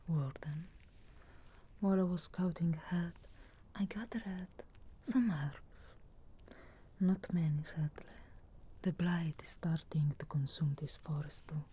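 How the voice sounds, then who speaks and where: A woman voicing a sharp-tongued, sarcastic but reluctantly caring witch in a slow, breathy, whispered ASMR fantasy roleplay. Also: fairly low pitch, full character voice. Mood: teasing, caring. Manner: slow, deliberate, dry sarcastic teasing.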